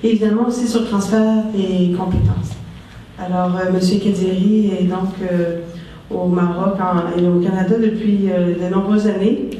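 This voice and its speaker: A moderator with a mellow, somewhat dark voice introduces the next speaker in a halting way. The voice sounds distant and rings through a big hall, with light noise behind it. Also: mid-range voice, steady tone. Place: large conference hall. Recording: echoey hall, distant mic.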